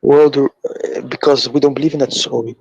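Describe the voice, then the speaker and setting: A man calling into a live debate, answering hesitantly and haltingly. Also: medium pitch, fairly even intonation, a little mellow, slightly rough, no room sound, muffled recording. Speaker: caller.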